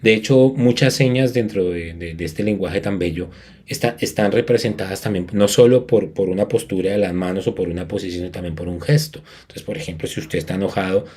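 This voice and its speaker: A man's voice: sits low, brisk, darkish tone, slightly rough. A man from Colombia giving an academic conference talk as a music educator, earnest and emphatic, with frequent restarts.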